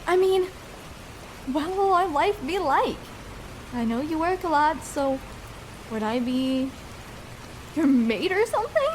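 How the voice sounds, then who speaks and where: A woman's voice: high-pitched, animated, takes it slowly, pauses often, a little hiss or hum, rough recording. A young woman playing a shy, vulnerable escort in an intimate ASMR roleplay, speaking hesitantly and tenderly with small stammers. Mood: hesitant, surprised.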